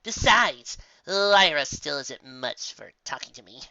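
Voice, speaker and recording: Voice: medium pitch, expressive, a little slow, sharp tone, no room sound, lo-fi sound. A reader voicing a haughty, dismissive female unicorn stage magician in a fan story, with grandiose, faintly condescending delivery.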